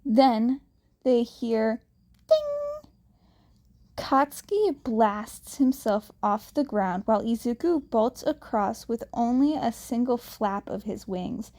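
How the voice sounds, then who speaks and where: A woman reading a fanfiction story aloud, slowly and haltingly, with some restarts, and she raises her pitch when she voices the characters. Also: big pitch swings, mellow. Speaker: fanfiction reader.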